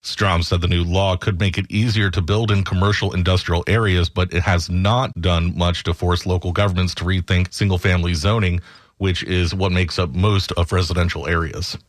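A man's voice: deep voice, fairly even intonation, moderate pace, no echo, studio quality. An American man reading scripted news headlines as a radio anchor.

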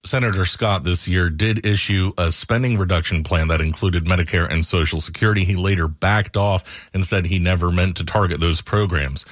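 A man's voice: deep voice, normal speed, few pauses, no echo, clean studio sound. An American man reading scripted news headlines as a radio anchor.

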